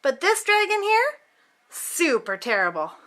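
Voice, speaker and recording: Very animated, high-pitched woman reading a storybook. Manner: theatrical, with drawn-out emphatic words. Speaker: host and reader of a children's book channel. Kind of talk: animated read-aloud of a picture book.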